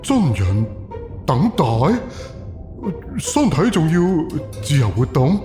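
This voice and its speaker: Casting: male actor as young Cantonese workshop boss, casual, halting, excitable, in a roomy echo with music underneath. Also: on the high side, full character voice. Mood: astonished. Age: young adult. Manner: hesitant, halting, with stammers and interjections. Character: young male puppet workshop owner, casual, easily startled. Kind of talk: audio drama acting. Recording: echoey room under loud music.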